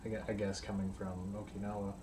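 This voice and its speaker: A man's voice: lowish voice, little pitch movement, quick pace, dark tone, slight background noise. A man hosting a podcast, asking casual, rambling interview questions. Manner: brief affirmations, rambling questions. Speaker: podcast host.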